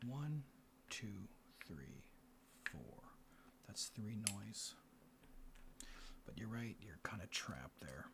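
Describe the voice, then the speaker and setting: A man hosting a board game in ASMR style, mixing soft speech and whispers as he murmurs an unhurried play-by-play. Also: fairly low pitch, very animated. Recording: clear background noise. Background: room ambience, board game handling sounds.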